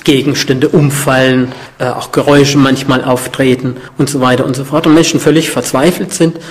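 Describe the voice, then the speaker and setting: A man's voice: medium pitch, fairly even intonation, normal speed, a little hiss or hum. A man speaking as an interviewed social scientist, explaining in an academic, reflective way.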